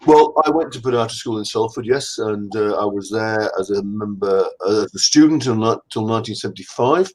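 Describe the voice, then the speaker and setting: A man's voice: lowish voice, fairly quick, few pauses, rough recording. An older man from England, a guest in an interview, telling rambling, self-deprecating stories from his career over a video call. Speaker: guest anatomist. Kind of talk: casual conversational interview.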